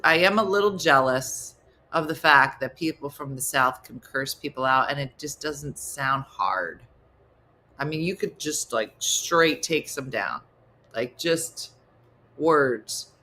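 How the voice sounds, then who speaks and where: A woman hosting a casual comedic morning show, chatting in an animated way with quick, exclaiming asides. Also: deep voice, slight background noise.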